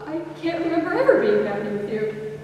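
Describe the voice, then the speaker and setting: A teenage girl acting a hurt, bitter, sarcastic girlfriend in a high school stage play. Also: sing-song, fairly quick, darkish tone. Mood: wounded. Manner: sarcastic, accusing. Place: high school stage, audience camera far away. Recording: noisy echoey hall, recorded from far off.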